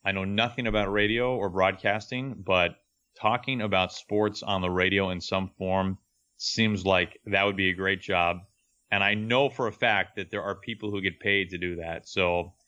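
Deep-voiced man, bright and forceful. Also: lo-fi sound. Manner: earnest, reflective storytelling. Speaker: baseball radio broadcaster. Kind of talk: interview guest.

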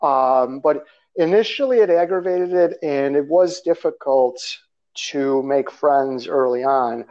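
A man's voice: medium pitch, a little slow. A man from Russia, a guest author talking about his background in a loose, rambling way with fillers and small laughs. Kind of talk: conversational interview. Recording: slightly dull lo-fi audio.

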